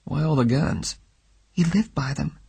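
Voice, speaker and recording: A man voicing a frightened, breathy young woman. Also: fairly high pitch, expressive, measured pace, dark tone, pitched above the performer's own voice, full character voice. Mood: confiding. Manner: pleading, breathy and trembling.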